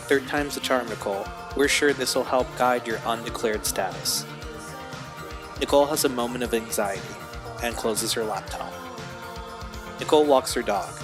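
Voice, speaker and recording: A man giving scripted narration for an audio drama. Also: mid-range voice. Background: music bed.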